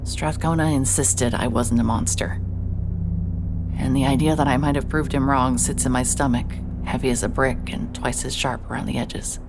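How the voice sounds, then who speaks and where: A wry, low-voiced investigator. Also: female voice, steady tone, normal speed, noisy background. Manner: wry, introspective, swears when upset. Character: rattled woman investigator, sarcastic and guarded. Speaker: narrator and lead character. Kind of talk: first-person audio drama narration.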